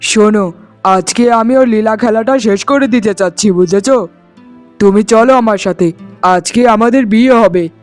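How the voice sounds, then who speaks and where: A man's voice: high-pitched, normal speed, faint music behind, close to an everyday voice. A man voicing a cruel, hot-headed husband in a cartoon melodrama, with an emphatic, scolding and boastful manner. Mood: doting, scheming. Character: cruel, hot-headed husband, doting on his new bride.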